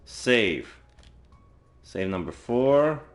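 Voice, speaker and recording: A man's voice: mid-range voice, big pitch swings, drawn-out words. A man livestreaming a game, reading visual novel text aloud and adding casual commentary as he plays. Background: game music.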